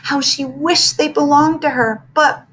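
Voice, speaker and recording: A woman reading a children's book aloud as expressive scripted narration. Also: high-pitched, measured pace, a little hiss or hum.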